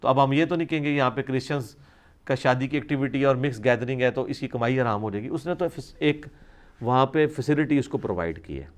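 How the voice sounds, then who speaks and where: A man's voice: mid-range voice, fairly quick. A man answers religious questions in an informal, explanatory lecture, reasoning conversationally as a scholar. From South Asia.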